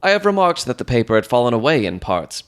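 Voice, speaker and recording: A man's voice: mid-range voice, sing-song, quick pace, clear, resonant tone. A man reading scripted first-person narration for a dramatized detective story.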